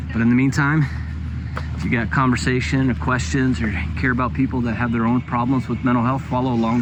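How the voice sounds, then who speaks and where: A man hosting a travel vlog, narrating his own story in a relaxed, conversational way. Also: mid-range voice, noisy background. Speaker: host. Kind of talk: vlog narration and to-camera talk.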